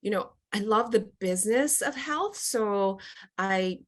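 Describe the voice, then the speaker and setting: A woman's voice: mid-range voice, expressive, slow pace. A middle-aged woman from the United States, a company executive chatting casually as an interview guest, with lots of fillers and restarts. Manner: chatty, many fillers and restarts, laughs often.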